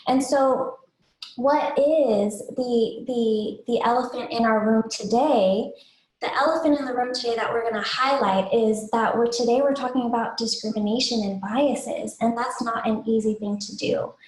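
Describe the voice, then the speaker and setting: A woman with a soft voice presenting a webinar to parents in an informal, explanatory way, posing questions as she goes. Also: a little high, moderate pace. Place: indoors, online webinar. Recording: roomy, echoey webinar audio.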